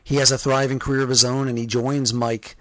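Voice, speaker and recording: A man's voice: mid-range voice, flat delivery, fairly quick, bright, forceful tone, no echo. A man hosting a podcast interview, chatting casually and agreeing readily with his guest.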